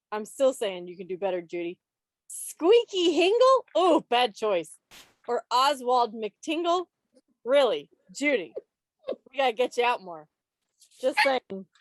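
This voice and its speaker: A woman's voice: on the high side, dry recording. A woman hosting a trivia quiz on a video call in a playful, teasing way, with mock-dramatic asides. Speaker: quiz host at a club meeting.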